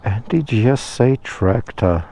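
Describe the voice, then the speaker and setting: A man's voice: medium pitch, animated, slow, warm tone. A man chatting casually to camera outdoors at a roadside, rambling and self-deprecating as he talks. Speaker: motorcycle vlogger. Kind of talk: casual vlog talk to camera. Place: outdoors by a roadside phone box. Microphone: head-mounted action camera.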